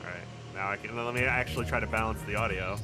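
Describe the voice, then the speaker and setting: A man's voice: on the low side, steady tone, fast, music in the background. A man hosting a game livestream, chatting casually in a rambling, joking, sweary way. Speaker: game streamer, host.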